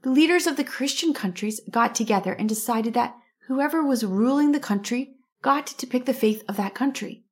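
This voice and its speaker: A woman with a fairly high voice narrates church history as a podcast host. She tells the story steadily and slows down for dramatic moments. Her voice is slightly hoarse from a cold. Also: lively intonation.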